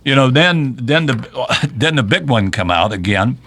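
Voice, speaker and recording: A man's voice: medium pitch, animated, a little slow, a bit bright. A man from hosting a local political talk radio hour, chatting loosely with many restarts. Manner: rambling, conversational, with many restarts. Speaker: radio show host.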